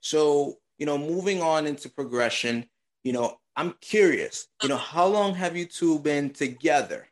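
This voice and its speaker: A man's voice: on the high side, lively intonation, measured pace. A man hosting a casual podcast, hyping up his guests and asking questions with lots of "you know" fillers. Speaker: podcast host.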